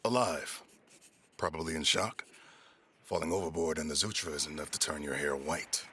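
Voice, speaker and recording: A man playing a gruff, practical, loyal ship's first mate with a raspy voice in an audio drama. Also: very deep voice, normal speed, fairly bright tone, full character voice. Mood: wry.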